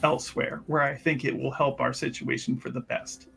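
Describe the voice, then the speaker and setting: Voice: medium pitch, little pitch movement, normal speed, gravelly, fairly bright tone, husky, soft background music. A person improvising in character at a tabletop game, hesitant and wheedling, often repeating phrases. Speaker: tabletop roleplaying performer.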